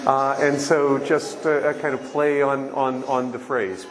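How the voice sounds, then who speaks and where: A man's voice: medium pitch, little pitch movement, poor audio. Man, hesitant, repeats words, crowd murmur behind. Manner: hesitant, slow restarts. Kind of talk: interview soundbite.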